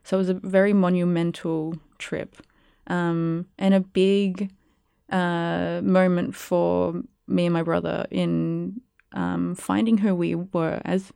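A young Australian woman with a lowish voice that has a gravelly edge yet stays smooth. She talks reflectively, with frequent ums and likes, and sounds close and dry. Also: little pitch movement, slow, warm tone, no room sound. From Perth, Western Australia. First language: Spanish. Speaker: guest, arts curator and producer. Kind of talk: casual interview.

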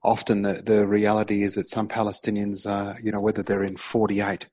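A man's voice: fairly low pitch, monotone, a little fast, darkish tone. A man hosting a community radio show, introducing his guest with enthusiasm and asking questions in a conversational way. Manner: conversational, some restarts. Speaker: radio host.

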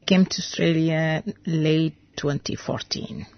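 Low, slow-spoken Sudanese woman. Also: fairly even intonation, creaky, no echo, dull audio. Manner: halting, searching for words. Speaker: interview guest, veterinarian. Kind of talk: radio interview.

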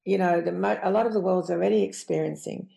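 A woman giving her opinions in a measured way as a guest in a panel discussion. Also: lowish voice, creaky, mellow. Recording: echoey room sound.

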